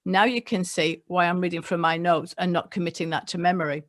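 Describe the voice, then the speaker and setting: Measured English-born woman reading from notes. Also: lowish voice, fairly even intonation. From England. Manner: measured, reads figures from notes with small slips. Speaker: local government leader. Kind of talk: prepared webinar address read from notes. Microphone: computer mic on a video call.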